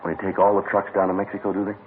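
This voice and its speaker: An actor playing a calm, dogged police detective who also narrates, speaking flat and matter-of-fact in an old radio drama. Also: male voice, low-pitched, rapid-fire, slight background noise, close to an everyday voice. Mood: dry, probing. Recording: tinny lo-fi old radio broadcast.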